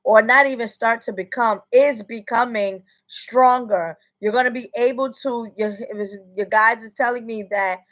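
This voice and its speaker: A woman's voice: on the high side, fairly even intonation, normal speed, few pauses, a bit bright. An American woman working as a psychic medium, giving a casual livestream reading in a rambling way and often asking "you know what I'm saying". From United States.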